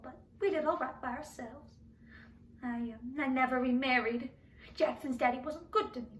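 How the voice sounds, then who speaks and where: Grieving, doting mother, played by a woman, dramatic monologue. High pitch, halting and defensive, heard at a distance from the mic. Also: a bit bright, a little hiss or hum. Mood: fond, wistful. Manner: halting, pleading, stammering. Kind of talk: dramatic monologue for speech competition. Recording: echoey room, distant microphone.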